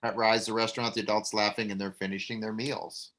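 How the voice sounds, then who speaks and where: Stage direction reader, plain, mid pitch, small slips, table read. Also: little pitch movement, brisk, a bit bright. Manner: plain, stumbles on words.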